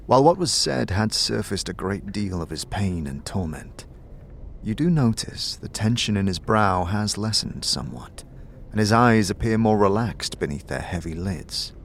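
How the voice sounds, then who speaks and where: A man's voice: fairly low pitch, big pitch swings, normal speed, vocal fry, slight background noise. A man narrating a scripted audio drama in the second person, as a storytelling game master.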